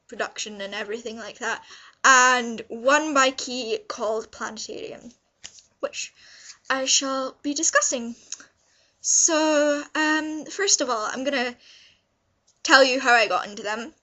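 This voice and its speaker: Young female vlogger, notably high and bright voice, informal rambling enthusiasm for a casual review. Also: takes it slowly, pauses often, lo-fi sound. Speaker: vlogger reviewing visual novels. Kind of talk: casual review chat to camera.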